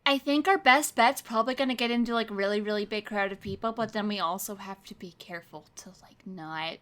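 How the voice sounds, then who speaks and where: A woman's voice: mid-range voice, a little fast, fairly bright tone. A woman playing a tabletop roleplaying game, improvising and narrating in a casual, conversational way.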